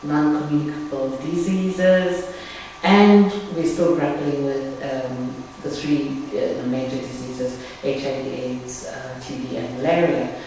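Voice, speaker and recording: A woman with a Zambian accent, a media consultant and journalist, speaking in a measured, earnest way in an interview. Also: very deep voice, steady tone, mellow. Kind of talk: recorded interview statement. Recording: echoey room, distant mic, faint noise.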